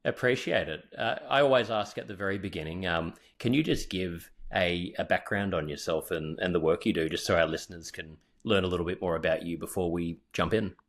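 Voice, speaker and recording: A man hosting a podcast, reading an earnest, measured introduction and then chatting with his guest. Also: sits low, dry recording. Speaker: podcast host. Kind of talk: scripted podcast intro, then casual interview.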